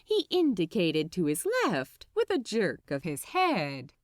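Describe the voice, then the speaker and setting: Audiobook narrator, woman: expressive scripted fiction read, slightly slow, recorded close and dry. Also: fairly low pitch, no echo.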